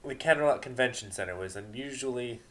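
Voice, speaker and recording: A man narrating a story aloud in an emphatic, deliberate dramatic reading style. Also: medium pitch, a bit bright.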